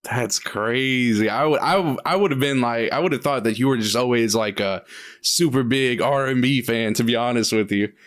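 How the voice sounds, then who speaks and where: A man hosting a podcast, asking curious, halting questions in a relaxed chat. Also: mid-range voice. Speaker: podcast host. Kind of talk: casual podcast interview.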